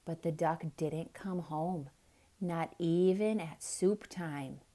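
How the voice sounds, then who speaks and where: A woman performs a picture-book read-aloud for young children. Her delivery is dramatic and expressive at a slow overall pace, with explanatory asides to the listeners. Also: low-pitched. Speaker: children's storyteller.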